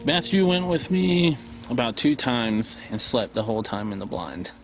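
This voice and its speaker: A man's voice: medium pitch, big pitch swings, normal speed, music in the background, low-quality recording. A man speaking casually and plainly in an interview. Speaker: interviewee, former partner.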